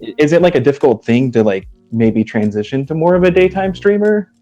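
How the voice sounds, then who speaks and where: A man's voice: fairly high pitch, animated, mellow, highs cut off, soft background music. Hesitant man asking a question. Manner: hesitant, halting with ums. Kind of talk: casual podcast question.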